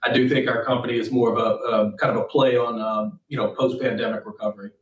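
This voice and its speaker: A man, a company executive, explaining business points in a measured way during an investor interview. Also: mid-range voice, level tone, dull audio. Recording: distant echoey call audio.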